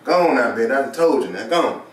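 A man's voice: medium pitch, expressive, fast, lightly acted. Man acting a bullying man in echoey room. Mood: angry, bossy. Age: young adult. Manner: profane, commanding. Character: domineering, foul-mouthed unemployed man bullying his partner. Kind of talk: amateur stage drama dialogue. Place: small living room, far camera. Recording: echoey room, distant mic, hiss.

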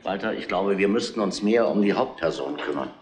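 An actor playing a grumbling police inspector in a radio crime drama, probing with hesitant, seemingly casual questions. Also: male voice, low-pitched, animated, fairly quick. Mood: pensive, doubting. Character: grumbling, probing male police inspector, middle-aged or older. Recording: roomy, noisy old radio play recording.

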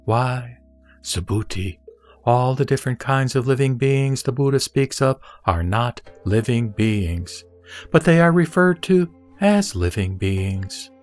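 A man playing the Buddha as a serene teacher, speaking in a measured, solemn way in an audio drama of scripture. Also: mid-range voice, animated, darkish tone. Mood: calm, instructive. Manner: measured, solemn, didactic delivery. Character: the Buddha, serene male teacher instructing a disciple. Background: background music.

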